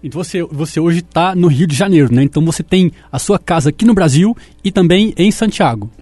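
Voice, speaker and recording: A man's voice: on the high side, expressive, brisk, mellow, no echo. A man hosting a radio interview, asking his guests leading questions with enthusiasm. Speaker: radio host.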